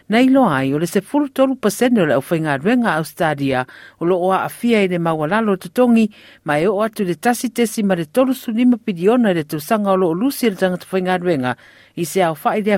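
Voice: mid-range voice, a little mellow, no echo, clean studio sound. A Samoan-accented reporter reading a scripted news narration.

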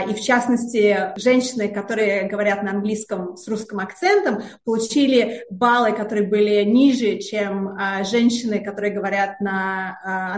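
A woman researcher answering interview questions in a hesitant way, with many filler sounds and repeated words. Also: mid-range voice. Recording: roomy echo.

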